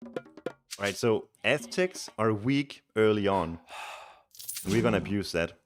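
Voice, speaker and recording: A Danish-accented man with a deep voice talks loosely through a live stream, explaining strategy as he plays. He is relaxed and chatty and often restarts his words. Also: unhurried, over music. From Denmark. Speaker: streamer and game coach. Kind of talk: casual live-stream chat and game commentary.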